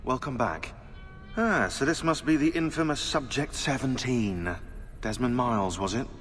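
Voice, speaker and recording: A man's voice: mid-range voice, moderate pace, a bit bright, natural voice. An actor playing a superior male researcher in a video game, with a dry, clipped, formally polite manner. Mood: dryly polite. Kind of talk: video game cutscene acting. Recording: long reverb, faint music.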